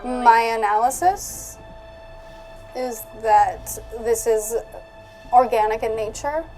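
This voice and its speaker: A woman with a fairly high voice talks casually as a player in a tabletop role-playing game. She thinks aloud in a deliberate, analytical way. Quiet music sits behind her. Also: low-quality recording.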